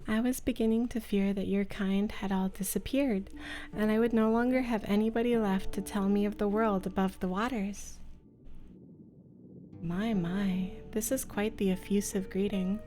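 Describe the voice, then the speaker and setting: A woman's voice: medium pitch, fairly even intonation, moderate pace, warm tone, faint music behind, natural voice. A woman voicing a sweet, well-bred young mermaid with polished, formal speech for a visual novel. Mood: relieved, effusive. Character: refined, girlish, amicable young female mermaid, sweetly excited. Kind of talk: visual novel character read aloud.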